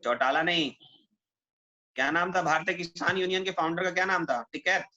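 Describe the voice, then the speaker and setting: High, bright-voiced male teacher quizzing students. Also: fairly quick. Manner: conversational, quizzing, often adds check questions. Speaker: teacher at a defence exam coaching class. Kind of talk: classroom question-and-answer teaching. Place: indoor classroom with students. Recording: muffled classroom recording with background noise.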